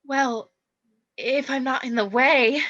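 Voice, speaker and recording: Woman acting shy earnest young girl in a radio drama, bright voice, bit muffled sound. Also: high-pitched, slow pace, heavily acted. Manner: modest, hesitant, polite. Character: demure, earnest, stage-struck young woman.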